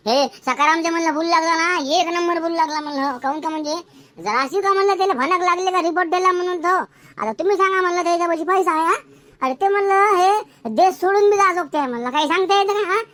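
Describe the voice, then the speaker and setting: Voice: very high voice, fast talker, fairly bright tone. A performer voicing a fretful, distrustful cartoon villager who keeps questioning, with a rural Varhadi Marathi accent. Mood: speculating. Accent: rural Maharashtra, Varhadi Marathi. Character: anxious, cautious villager in a comic cartoon. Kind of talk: comic cartoon dialogue.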